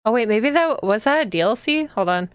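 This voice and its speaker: A woman's voice: a little high, expressive, fairly quick, clean tone, dry recording, clean studio sound. A woman chatting casually to viewers as she hosts a live game stream. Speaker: streamer and host.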